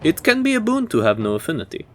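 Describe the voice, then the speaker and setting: A man playing a measured, scholarly university professor who lectures formally and deliberately in a fantasy audio roleplay. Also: fairly high pitch, huge pitch swings, slight background noise. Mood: lecturing, measured. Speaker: professor giving a history lecture.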